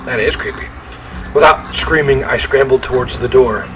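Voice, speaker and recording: A man's voice: mid-range voice, moderate pace, a bit bright, soft background music, low-quality recording. A man reading a visual novel aloud as he plays, with theatrical, emphatic narration and offhand reactions.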